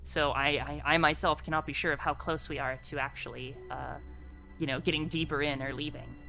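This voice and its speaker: A woman playing a measured, learned scholar exploring an ancient tomb in a tabletop game. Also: deep voice, fairly even intonation, bright, forceful tone, faint music behind. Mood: measured, cautionary.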